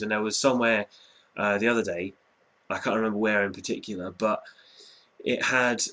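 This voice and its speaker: Young english guy, twenties, mild general English accent, slightly low voice, rambling casual vlog chat. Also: fairly bright tone. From Norwich, England. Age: 20s. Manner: chatty, rambling, hedging with "quite" and "um". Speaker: vlogger. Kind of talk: casual vlog answering viewer questions.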